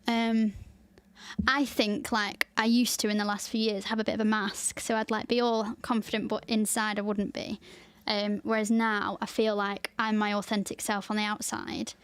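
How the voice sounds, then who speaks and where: A woman's voice: medium pitch, little pitch movement, moderate pace, clean tone, no echo. A woman with a Lancashire accent, hosting a podcast in open, chatty talk and laughing easily. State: tired after a 24-hour stream. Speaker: podcast host. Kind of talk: casual chat.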